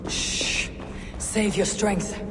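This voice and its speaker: A woman's voice: drawn-out words, heavy background noise. A woman playing a grim, steely Viking warrior who can be tender, in a video game. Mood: urgent, gentle.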